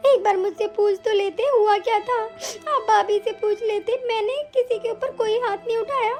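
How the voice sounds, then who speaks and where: A woman's voice: very high voice, moderate pace, music in the background. A woman playing a wronged but defiant young wife, pleading indignantly in a family melodrama. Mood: tearful, pleading. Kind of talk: domestic family melodrama acting.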